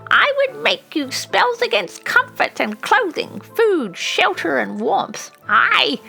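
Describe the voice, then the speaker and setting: A woman's voice: high-pitched, creaky, pushed and bright, pitched above the performer's own voice, heavily acted. A woman voicing a fierce, scornful old witch in a hissing, incantatory storybook manner.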